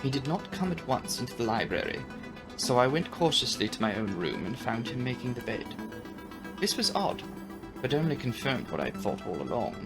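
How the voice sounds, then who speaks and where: A man giving a dramatic audiobook reading of a classic novel, with expressive, deliberate, theatrical phrasing. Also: mid-range voice, music in the background. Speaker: audiobook narrator and channel host.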